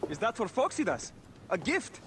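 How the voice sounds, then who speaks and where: An actor playing an eager, nosy, pushy companion from antiquity in a game cutscene. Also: male voice, high voice, wildly swooping pitch, moderate pace. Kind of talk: dramatic video game cutscene acting. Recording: clear background noise.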